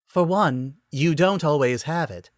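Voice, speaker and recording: A man's voice: fairly high pitch, measured pace, no echo, clean studio sound, voice pushed up, natural voice. A man voicing a playful, teasing young woman in a dramatic reading of a romantic comedy story.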